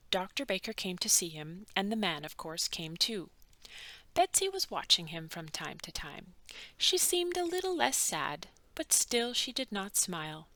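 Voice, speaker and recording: A woman narrating a children's bedtime story with animated, dramatic emphasis and drawn-out words. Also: fairly low pitch, no room sound. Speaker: children's book read-aloud narrator.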